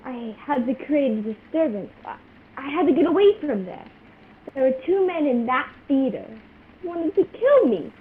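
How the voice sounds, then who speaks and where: A woman playing a frightened, secretive spy on the run in a radio play, pleading and urgent in halting phrases. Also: sits high, big pitch swings. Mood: anxious confession. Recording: tinny phone-like video call, faint noise.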